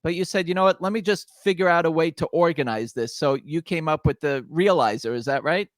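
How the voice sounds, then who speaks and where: Show host, a man with a slightly raised pitch; dry recording, loose rambling questions. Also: a little fast, no echo. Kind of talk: interview hosting.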